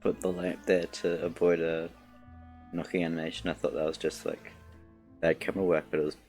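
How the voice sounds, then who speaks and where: A man with a very low, gravelly voice making quiet offhand asides while streaming a game. He sounds a bit away from the mic, with faint game music behind him. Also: flat delivery, fairly quick. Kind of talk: casual live commentary over a game.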